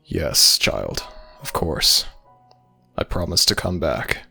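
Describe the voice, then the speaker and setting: A man playing an ancient Egyptian god of the dead, gruff but caring, in a slow, intimate ASMR audio roleplay with formal, measured phrasing. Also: extremely low pitch, big pitch swings, pushed and bright, soft background music, full character voice. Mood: soothing, reassuring. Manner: formal, measured, archaic phrasing, addressing a listener as child.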